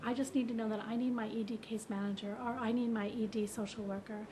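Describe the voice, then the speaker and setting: A woman's voice: a little high, little pitch movement, fast talker. A woman who works in a hospital, explaining things in a testimonial interview. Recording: clearly noisy hospital background.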